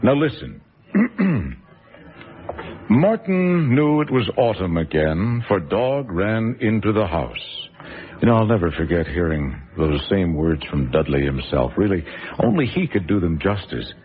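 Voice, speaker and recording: An actor playing an enthusiastic, theatrical storyteller at a party in a radio drama. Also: male voice, sits low, big pitch swings, unhurried, soft background music. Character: eager storyteller host at a party. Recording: tinny old radio recording.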